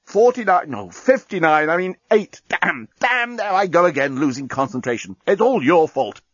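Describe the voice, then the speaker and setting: Guy doing a flustered bomb that talks, drawn out countdown voice, kinda raised pitch, bit muffled sound. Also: very animated, a bit bright, husky, dry recording, heavily acted. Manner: halting, drawn-out counting that gets muddled. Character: talking bomb, flustered, distractible countdown machine. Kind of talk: comic science fiction audiobook.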